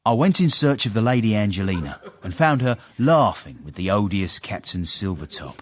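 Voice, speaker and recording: An actor playing a proud, self-important Victorian gentleman with an English accent, narrating and speaking formally in a period radio drama. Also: lowish voice, sing-song, normal speed. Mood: wry, self-satisfied.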